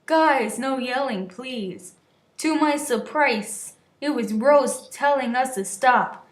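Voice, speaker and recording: Female amateur story reader, high voice, unhurried, prone to stumbles and giggly asides, roomy echoing sound. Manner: giggly, stumbling over words, shouting the quoted lines. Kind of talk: dramatic reading of a story, with stumbles and casual asides. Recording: roomy, echoey voice recording.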